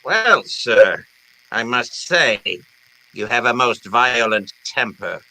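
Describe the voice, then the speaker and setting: An actor playing a pompous, scheming fat crime boss in an old-time radio drama, speaking in florid, elaborately courteous phrases. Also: male voice, mid-range voice, lively intonation, takes it slowly, sharp tone, poor audio. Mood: coolly courteous.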